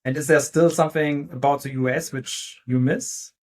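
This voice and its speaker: A man's voice: fairly high pitch, steady tone, moderate pace. A man hosting a podcast, asking questions and listening with short backchannels. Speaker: podcast host. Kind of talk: podcast interview.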